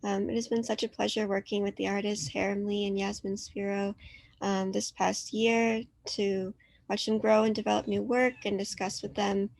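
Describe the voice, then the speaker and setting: A woman curator introduces the artists in a flat, even tone with a lot of vocal fry. She talks politely and fills her pauses with ums, and her voice sounds close and dry, recorded at home in her apartment. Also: medium pitch, no echo. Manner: polite, filler-heavy presenting. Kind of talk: hosting a virtual artist talk.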